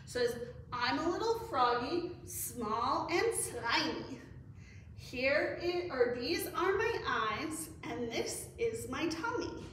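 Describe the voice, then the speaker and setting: A woman's voice: sits high, bright, forceful tone. A middle-aged woman, a children's librarian, leading story time with cheerful sing-song counting and songs, recorded by a camera across the room. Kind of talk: story time reading and action songs for kids. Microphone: far camera. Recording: echoey room from far off, faint noise.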